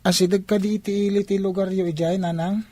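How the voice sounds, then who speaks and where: An actor as a mellow, earnest Filipino schoolchild. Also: medium pitch, level tone, quick pace, lightly acted. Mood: curious, hopeful. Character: earnest, hard-up schoolchild pleading with mother. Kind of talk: Filipino radio drama acting.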